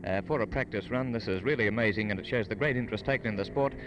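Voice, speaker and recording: A man's voice: fairly low pitch, racing, dull audio. Aussie guy doing old 1950s radio racing commentary, formal but umming, lots of car noise behind him. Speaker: radio sports broadcaster. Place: outdoors trackside at a motor racing circuit. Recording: noisy 1958 outdoor radio broadcast. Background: racing cars and engine noise.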